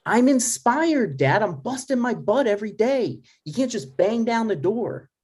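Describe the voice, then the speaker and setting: A man playing a skeptical grown son with a dry, halting delivery in a conversational comedy table read. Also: high-pitched, very animated, a little mellow, slightly rough, exaggerated voice. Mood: exasperated.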